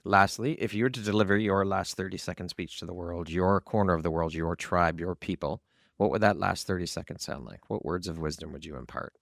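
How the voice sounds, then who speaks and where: Male interview host, low and creaky, recorded dry. Measured, formal, appreciative delivery. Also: a bit bright, no echo. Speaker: podcast host. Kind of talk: interview hosting with polished outro.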